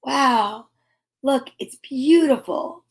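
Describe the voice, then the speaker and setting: A woman with a high voice reads a children's picture book aloud. She takes her time, drawing words out expressively and dropping in chatty asides as the host of the storytime. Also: very slow.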